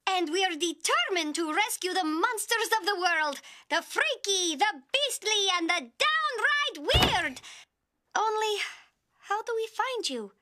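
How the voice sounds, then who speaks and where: A woman playing an upbeat, earnest teenage monster girl in an animated film. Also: very high voice, a little slow, full character voice. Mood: hopeful, earnest. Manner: earnest, eager narration and chatter.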